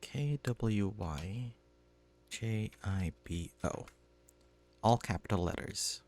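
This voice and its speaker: A man's voice: lowish voice, takes it slowly, darkish tone. A man streaming a game and reading its on-screen text aloud as scripted narration. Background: background music.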